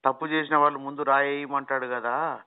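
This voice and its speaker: A man with a Telangana Telugu accent questioning on a phone call in a halting, repetitive, insistent way. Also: mid-range voice, steady tone, clean tone. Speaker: phone caller. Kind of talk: questioning in casual phone conversation. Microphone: phone line.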